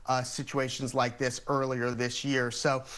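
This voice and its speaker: An American man speaking as an expert guest in a TV news interview, explaining things in a measured, methodical way. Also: medium pitch, level tone, a bit bright.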